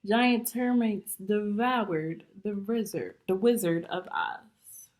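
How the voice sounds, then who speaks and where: Slow-paced woman reading aloud to children. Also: medium pitch, a little mellow. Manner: deliberate, instructive, pausing to explain and ask questions. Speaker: teacher reading aloud to students. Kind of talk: picture book read-aloud with explanations and questions for children.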